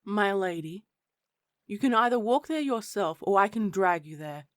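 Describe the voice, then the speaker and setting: A woman's voice: mid-range voice, expressive, normal speed, no echo, studio quality, close to an everyday voice. A woman playing a prim, stern, dutiful attendant of a princess in a fantasy audio roleplay, speaking in a formal, proper, clipped way. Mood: stern, cool.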